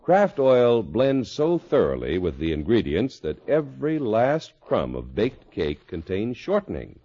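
A man's voice: fairly low pitch, wildly swooping pitch, unhurried, warm tone. A man reading a scripted 1950s radio advertisement as an announcer, with a polished, emphatic sales delivery. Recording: muffled old radio recording.

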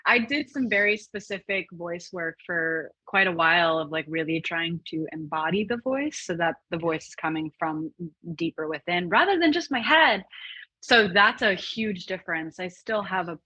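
A woman speaking as a guest on a podcast, chatting in an expressive, animated way with a breathy voice. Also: on the low side, normal speed, dry recording, low-quality recording. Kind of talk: casual interview chat.

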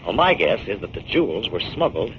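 Low-voiced, assured 1940s radio detective, muffled recording. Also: male voice, big pitch swings, brisk, slight background noise. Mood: explanatory. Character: confident, shrewd male amateur detective and scientist. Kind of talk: 1940s radio drama acting.

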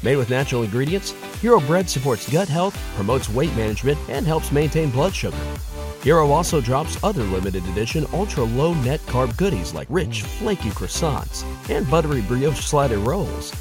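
A man delivers a scripted advertisement with very varied, animated intonation. Music plays clearly behind his announcer read. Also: mid-range voice, moderate pace.

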